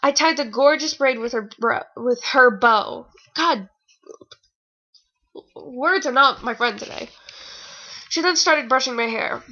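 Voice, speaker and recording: A woman's voice: high voice, slow, pauses often, bright, forceful tone. A young woman reading fan fiction aloud in a casual, informal way, with rambling, sarcastic commentary. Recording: dull lo-fi home recording.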